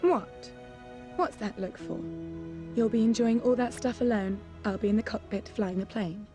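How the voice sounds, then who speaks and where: Actress as self-assured socialite, slightly high voice, breezy and teasing, strong score underneath. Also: female voice, steady tone, normal speed, over music, natural voice. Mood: playful, assertive. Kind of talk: cinematic spy game acting.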